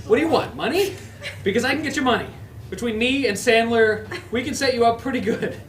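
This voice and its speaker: A man's voice: extremely high pitch, fairly quick, recorded from a distance. A man reading the part of a wounded man who threatens, bargains and begs for his life at a comedy table read. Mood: bargaining. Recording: roomy echo, off-mic, faint noise.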